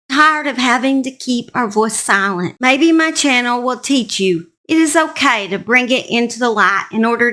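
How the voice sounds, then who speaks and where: A woman's voice: a little high, pushed and bright. A woman with a Southern US accent, earnestly narrating true crime stories as an online host. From Southern United States. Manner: earnest, serious.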